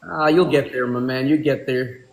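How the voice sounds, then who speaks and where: A man's voice: mid-range voice, fairly even intonation, moderate pace, gravelly, echoey room, poor audio. A man in his forties, born in Pakistan, hosting a casual livestream chat from a room at home, calling people bro and my man. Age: 40s. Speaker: livestream host.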